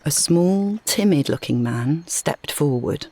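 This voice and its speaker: A woman reading a scripted short story as narrator, in a measured, dramatic storytelling manner. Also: low voice, lively intonation, mellow, no echo.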